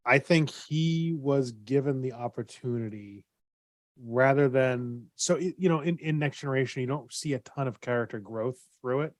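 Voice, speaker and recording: A man with a mid-pitched voice chats as a podcast panelist. He explains things informally, and the recording is dry and free of room sound. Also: normal speed, dry recording. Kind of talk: casual panel discussion.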